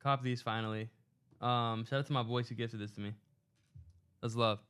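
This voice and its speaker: A man's voice: mid-range voice, fairly even intonation, normal speed, a bit bright, clean tone, no echo. A young man hosting a casual podcast in a recording studio, talking loosely with lots of fillers and slang into a headset mic. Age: young adult. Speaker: podcast host.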